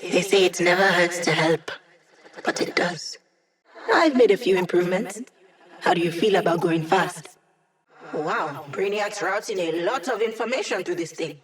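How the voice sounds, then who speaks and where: An actress voicing a sardonic young woman hacker who runs tech support for a squad, in video game voice lines with dry, deadpan humor. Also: fairly low pitch, normal speed, lightly acted. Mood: wry.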